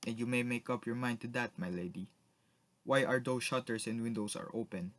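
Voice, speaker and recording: A man's voice: medium pitch, a little fast, dry recording, natural voice. A man playing a stern, suspicious lord and jealous husband in a radio drama, pompous and sneering. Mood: cold sneering. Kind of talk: melodramatic radio drama acting.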